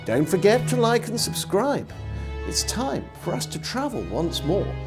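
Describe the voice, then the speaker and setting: A man's voice: high-pitched, huge pitch swings, music in the background. An English man giving a scripted, theatrical reading in the manner of a storyteller introducing and narrating an old diary. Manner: measured, theatrical delivery. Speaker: storyteller and host.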